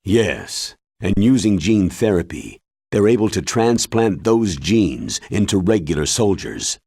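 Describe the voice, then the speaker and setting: A man voicing a grave, authoritative military commander in a video game, explaining in a measured, solemn way with a husky voice. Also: deep voice, sing-song, a little mellow, no room sound, clean studio sound, full character voice. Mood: solemn, explanatory.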